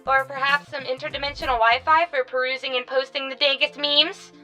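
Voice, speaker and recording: A woman voicing a devoted, chirpy robot helper in an animated comedy, adoring and eager. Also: high voice, brisk, soft background music.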